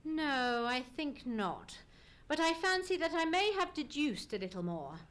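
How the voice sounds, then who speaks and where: An actor playing a commanding, precise gentleman detective in an old-time radio play, with formal, emphatic diction. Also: sits high, big pitch swings, unhurried, full character voice. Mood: musing, confiding. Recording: live stage radio play recording.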